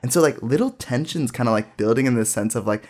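A man's voice: medium pitch, expressive, fast talker, a little mellow. Young man telling a rambling personal story. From Dayton, Ohio, US. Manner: rambling, lots of filler "like", self-deprecating. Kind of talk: casual conversational storytelling.

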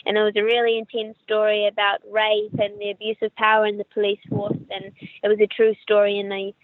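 A woman's voice: mid-range voice, completely flat delivery, a little fast, dry recording. A young woman with a New Zealand accent, an actress chatting in a friendly interview over a remote call. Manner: friendly, chatty, with restarts and laughs. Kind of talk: casual interview chat. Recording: tinny, dry call audio.

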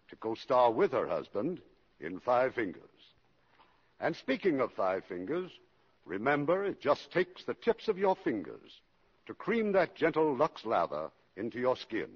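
Casting: male producer-host of vintage radio, formal script delivery, lively pitch, slightly rough voice, muffled old recording. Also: normal speed. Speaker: producer and host introducing the show.

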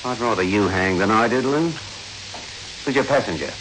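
An actor playing a sarcastic, threatening criminal boss in a 1960s radio thriller. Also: male voice, on the low side, normal speed, lightly acted. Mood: sly. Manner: sardonic, threatening, commanding. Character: menacing, sarcastic male criminal boss with gun. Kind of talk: 1960s radio suspense acting. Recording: hissy, noisy old radio recording.

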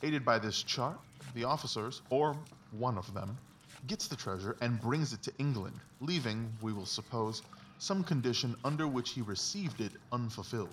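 A man's voice: medium pitch, animated, moderate pace, lightly acted. A confident Victorian detective acted by a man lays out his reasoning like a lecture, precise and emphatic. He sounds set back from the microphone, with faint noise behind him, in the manner of a dramatised audiobook. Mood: brisk, assured explaining. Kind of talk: dramatised literary audiobook dialogue. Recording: distant mic, faint background noise.

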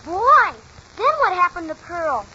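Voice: extremely high pitch, sing-song, measured pace, sharp tone, lo-fi sound, heavily acted. A performer playing an eager, curious young boy questioning a grandfather in a 1940s radio drama. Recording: noisy, band-limited 1940s radio recording.

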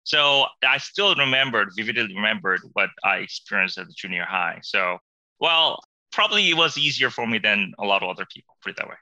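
A middle-aged man from Korea speaks with a very bright, forceful tone. He talks haltingly, searching for words, with frequent small chuckles. The recording is dry and close. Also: lowish voice, lively intonation, no echo, rough recording. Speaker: guest. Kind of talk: casual interview chat.

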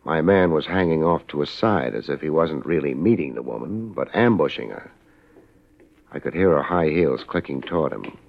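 An American actor playing a wry, laid-back but tough private investigator in a 1950s radio drama. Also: male voice, very deep voice, expressive, normal speed, vocal fry, heavily acted. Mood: wry, watchful. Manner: laid-back, wry, hard-boiled delivery. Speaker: lead actor and narrator. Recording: muffled old radio recording, music behind.

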